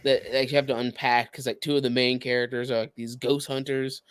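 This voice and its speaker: Casual man, halting explainer, close dry sound. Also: medium pitch, flat delivery, a bit bright, smooth, no echo. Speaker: podcast co-host. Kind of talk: casual podcast chat.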